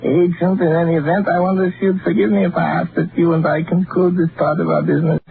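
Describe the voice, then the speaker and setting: Casting: excessively courteous menacing stranger, creaky voice, 1940s radio acting, thin noisy sound. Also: male voice, fairly high pitch, little pitch movement, fast. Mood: courteous, hesitant. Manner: formal, hesitant, excessively polite. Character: overly courteous, sinister male visitor hiding menace. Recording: tinny, noisy vintage radio recording.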